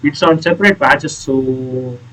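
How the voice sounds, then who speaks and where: A man's voice: medium pitch, unhurried, bright, forceful tone, poor audio. Hesitant man commenting on a game. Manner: hesitant, explanatory. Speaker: gaming video creator. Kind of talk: casual playthrough commentary.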